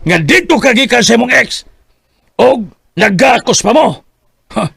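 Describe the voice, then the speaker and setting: An actor playing an angry older father-in-law in a radio drama, scolding with a gravelly, husky voice. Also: very high-pitched, animated, unhurried, a bit bright, dry recording, studio quality, full character voice. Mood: enraged. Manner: scolding, accusing. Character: furious old father-in-law, grandfather. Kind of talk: Bisaya radio drama acting.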